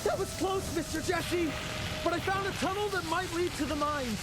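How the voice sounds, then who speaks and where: An actor playing a nervous, brainy, eager scientist sidekick in a video game cutscene, stammering and fussy. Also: male voice, extremely high pitch, moderate pace, poor audio. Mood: frantic. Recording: loud music behind the voice.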